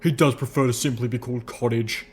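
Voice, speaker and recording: A man voicing a stern old ghoul soldier in armor, speaking formally and gravely with a low rumble. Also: steady tone, fast, close to an everyday voice. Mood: formal, measured.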